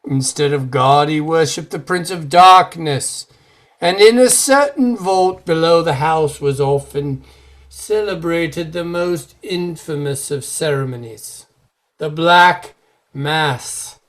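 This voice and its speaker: An actor playing a grave, formal man who recounts a dark legend with deliberate drama, in old-time radio horror style. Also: on the high side. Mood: grave, dramatic.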